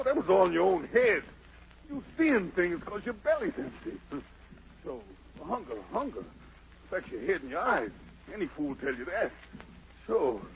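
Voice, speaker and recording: Gravelly, haunted fugitive emperor, old radio. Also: male voice, high-pitched, a bit bright, heavily acted. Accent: American. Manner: theatrical, muttering to himself then crying out. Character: fleeing self-made emperor, frightened, boastful, haunted, superstitious fugitive. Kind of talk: 1940s radio drama acting. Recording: noisy, muffled 1940s radio broadcast.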